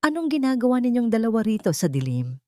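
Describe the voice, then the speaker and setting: A woman's voice: on the high side, big pitch swings, fast talker, darkish tone, no room sound, voice pushed up. Woman plays cold Filipino villainess for audiobook drama: menacing, accusing, scornful, dry close sound. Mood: suspicious. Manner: taunting, accusing. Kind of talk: audiobook melodrama.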